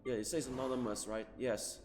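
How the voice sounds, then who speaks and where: A man's voice: medium pitch, steady tone, a little fast, background noise. A man giving casual live game commentary as a streamer, rambling, repeating himself emphatically and swearing offhand. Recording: reverberant room, game music behind.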